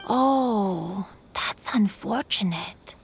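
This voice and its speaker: A woman voicing a calm, reserved, soft-spoken young festival-goer in an anime game. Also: medium pitch, drawn-out words, creaky, slight background noise, exaggerated voice. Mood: subdued.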